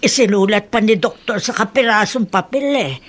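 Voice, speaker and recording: A woman's voice: medium pitch, normal speed, lightly acted. An actress playing a kindly, talkative older working woman in a Filipino radio drama, with a folksy, hesitant storytelling manner. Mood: earnest storytelling.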